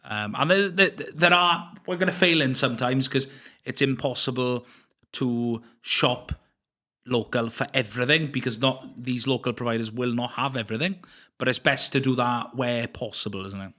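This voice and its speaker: A man hosting a podcast interview, enthusiastic but halting, often restarting his sentences. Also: medium pitch, bright, forceful tone. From Wales. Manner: hesitant, many restarts and fillers, enthusiastic. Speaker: podcast host. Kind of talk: casual interview chat.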